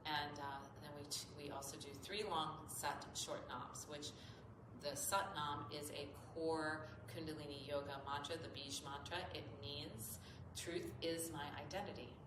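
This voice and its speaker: A woman's voice: low-pitched, flat delivery, a little slow. A woman with a slightly nasal voice explains as a yoga teacher in an informal, earnest, rambling tutorial. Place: echoey indoor yoga studio. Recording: roomy echo, clearly noisy background.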